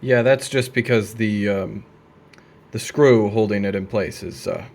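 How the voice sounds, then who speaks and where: A young man talking casually and deadpan as he narrates a hands-on repair, with slangy, wry delivery. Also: fairly low pitch, unhurried, vocal fry, a little hiss or hum. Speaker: video creator. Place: indoor room at a desk.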